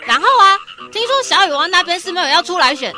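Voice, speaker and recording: A squeaky toad henchman for a radio play, pitched extremely high and racing along. The little toad tattles eagerly and croaks. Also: faint music behind, heavily acted. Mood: agitated. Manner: fast, excitable reporting, croaking. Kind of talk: radio drama acting.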